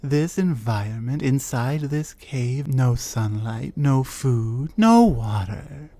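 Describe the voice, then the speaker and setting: Slow-talking territorial troll woman, scornful and commanding, ASMR roleplay, voiced by a woman. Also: rumbling low, animated, dark tone, no echo, full character voice. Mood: cold, mocking. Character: territorial female troll, huge, reclusive, cold. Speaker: voice actor.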